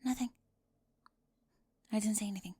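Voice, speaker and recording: A young woman acting a bossy, easily flustered, over-organized high school girl with a crush, in an ASMR roleplay. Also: normal speed, mellow, breathy edge, dry recording. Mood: flustered, defensive. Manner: indignant, emphatic, easily flustered, stammering when caught.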